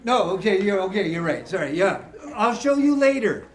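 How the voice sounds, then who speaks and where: A man's voice: high-pitched, big pitch swings, moderate pace. A man in his fifties from Ontario, a musician chatting and teasing an audience between songs. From Ontario, Canada. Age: 50s. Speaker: musician entertaining seniors. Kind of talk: joking banter between songs.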